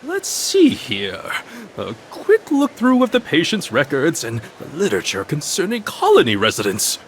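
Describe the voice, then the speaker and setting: An actor playing an eccentric, theatrical doctor in a video game, musing aloud in drawn-out words. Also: male voice, on the high side, wildly swooping pitch. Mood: musing, puzzled. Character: eccentric theatrical male doctor, muttering over patient records.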